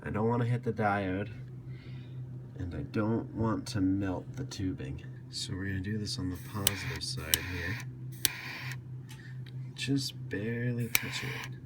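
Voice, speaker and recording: A man with a fairly low voice narrates a do-it-yourself tutorial. He talks casually and plainly, going step by step through what he is doing. The homemade recording has clear noise and some clicking behind him. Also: measured pace, long pauses. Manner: plainspoken, explaining each step as he works. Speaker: DIY tutorial host. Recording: clearly noisy hobby video with clicks.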